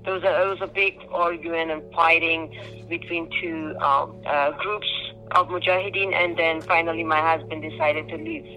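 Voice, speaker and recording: An older woman from Afghanistan recounting her family's life in a hesitant phone interview, with many pauses and fillers. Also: lowish voice, animated, sharp tone, faint music behind, rough recording. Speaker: interviewee, refugee mother. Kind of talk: phone interview, personal account.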